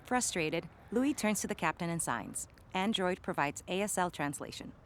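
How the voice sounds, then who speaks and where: A woman's voice: on the low side, expressive, moderate pace, a little hiss or hum. A woman reading scripted stage directions as the narrator of an audio play.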